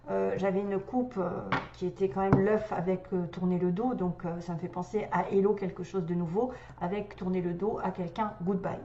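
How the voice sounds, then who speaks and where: A woman's voice: mid-range voice, a little mellow, reverberant, dull audio, slight background noise. Chatty woman with a head cold. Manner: chatty, hesitant fillers, laughs often. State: has a cold. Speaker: tarot reader. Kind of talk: tarot reading guidance.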